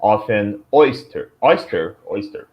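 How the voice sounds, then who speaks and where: A man's voice: lowish voice, expressive, muffled recording, slight background noise. A man from Brazil with a Brazilian Portuguese accent, a student reading vocabulary aloud hesitantly, with restarts and self-corrections. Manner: hesitant, reads aloud haltingly.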